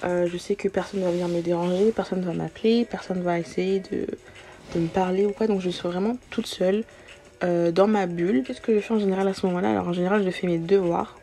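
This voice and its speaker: Mellow, lower-pitched young woman podcasting. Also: fairly even intonation, fast talker. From born outside France, moved to France as a young child. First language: not French. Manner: informal, slangy, sprinkles in English words. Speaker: podcast host. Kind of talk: casual solo podcast, answering self-introduction questions.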